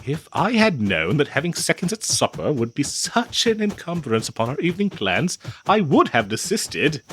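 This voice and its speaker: A man playing a flustered, devoted nineteenth-century gentleman in a comedic audio roleplay, verbose and old-fashioned, with a nervous stammer. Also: a little high, big pitch swings, normal speed, a little hiss or hum. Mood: nervous, apologetic.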